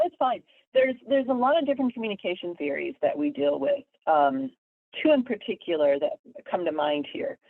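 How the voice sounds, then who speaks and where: A woman's voice: fairly low pitch, dry recording. Woman professor on a tinny call line. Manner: lecturing, thoughtful, repeats words while building a point. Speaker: guest, communication professor. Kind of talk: explanatory interview talk. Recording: tinny phone-like line, dry.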